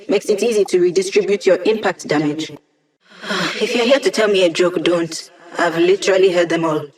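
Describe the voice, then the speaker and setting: A woman's voice: medium pitch, close to an everyday voice. A woman voicing a wry, teasing young female hacker in a video game, giving dry mission dialogue over comms. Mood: upbeat, friendly, then sardonic, teasing.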